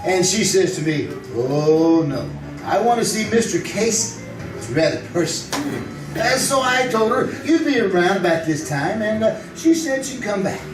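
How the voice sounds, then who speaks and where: A man's voice: sits high, lively intonation, normal speed. A man acting a chatty, eager, lovestruck barkeep in an old-time radio play, with slangy, hesitant, rambling delivery. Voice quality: streetwise slangy diction. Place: live on a theatre stage. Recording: roomy stage performance, distant mic, music.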